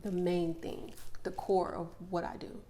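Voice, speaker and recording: A woman's voice: on the low side, little pitch movement, unhurried, mellow, a little hiss or hum. Woman, earnest motivational coach. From Mississippi. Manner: earnest, reflective, laughs easily. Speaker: podcast guest, motivational coach. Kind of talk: casual interview conversation.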